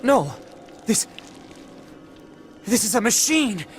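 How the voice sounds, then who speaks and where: A man's voice: high-pitched, big pitch swings, slow, full character voice. A young man voicing an eager, cautious, talkative android soldier in a video game. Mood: alarmed. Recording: loud game music behind. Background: loud game music.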